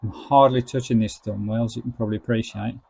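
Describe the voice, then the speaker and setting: A man's voice: on the low side, steady tone, quick pace, creaky, warm tone, a little hiss or hum, low-quality recording. A man presenting a product review as a narrated demonstration. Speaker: reviewer and presenter of gadget videos.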